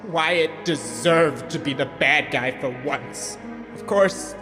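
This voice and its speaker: Man playing high-voiced, cocky scheming lover. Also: takes it slowly, music in the background. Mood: smug, assured. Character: scheming small-town male lover, smooth-talking and cocky. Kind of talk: audio drama acting.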